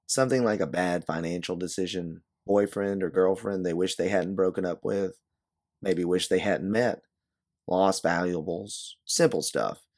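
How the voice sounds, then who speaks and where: A man's voice: low voice, measured pace, darkish tone. A man voicing a blunt, sarcastic, embittered woman time traveler on the run in an audio story. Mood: weary, explaining.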